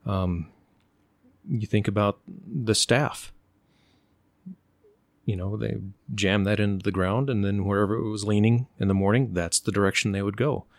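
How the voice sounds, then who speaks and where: Deep-voiced thoughtful middle-aged man. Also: steady tone, dry recording. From United States. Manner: unhurried, thoughtful, often pauses to search for words. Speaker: interview guest. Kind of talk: relaxed conversational storytelling.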